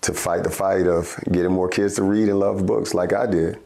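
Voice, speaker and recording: A man's voice: deep voice, fairly even intonation, moderate pace, vocal fry, warm tone. A man in his thirties with an American accent, a storytime reader for children, speaking in a documentary interview. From United States. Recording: music under the voice. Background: music.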